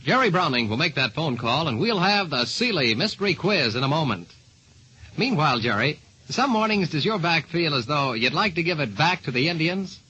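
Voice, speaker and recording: A man's voice: on the high side, big pitch swings, normal speed, bright, forceful tone, muffled recording, slight background noise. A man announcing in 1940s radio style, on an old radio recording.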